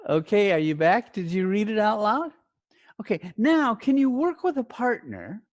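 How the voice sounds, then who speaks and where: A man giving an instructional lesson as a teacher trainer, speaking deliberately in short phrases and often checking in with brief questions. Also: high voice, big pitch swings, no room sound. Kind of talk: instructional talk to learner teachers.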